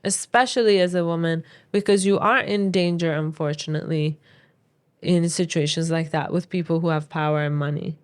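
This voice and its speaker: A woman's voice: low-pitched, steady tone, moderate pace, clean tone. A woman co-hosting a podcast in casual chatty talk, opinionated and emphatic as she gives advice. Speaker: podcast co-host.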